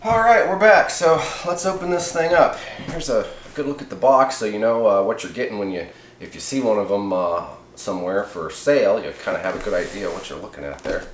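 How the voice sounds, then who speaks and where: A man's voice: fairly low pitch, brisk, slight background noise. A man giving a casual, rambling, off-the-cuff product review in a laid-back, colloquial way. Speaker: host of CB radio review videos. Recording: echoey room sound.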